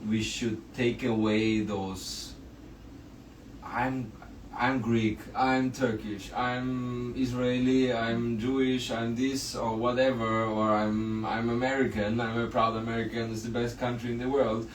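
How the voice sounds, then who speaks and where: A man guest on a podcast, speaking earnestly in casual spiritual chat, pausing often with filler sounds. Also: medium pitch, level tone. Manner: earnest, pauses with many uhs. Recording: roomy, distant mic with faint noise.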